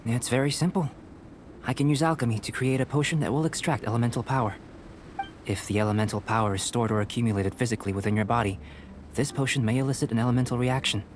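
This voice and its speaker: A man voicing a calm, reserved young alchemist scholar in an anime game, speaking in a measured, precise way. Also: mid-range voice, slight background noise, lightly acted. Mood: composed, instructive. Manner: measured, precise, composed, clinical.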